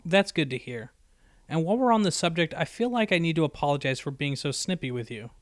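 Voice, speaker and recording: A man's voice: a little high, lively intonation, quick pace, no room sound, studio quality, lightly acted. A man voicing a bookish young female unicorn pony in an audiobook, with a precise, lecturing and easily exasperated manner. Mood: calm, friendly. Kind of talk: acted audiobook dialogue.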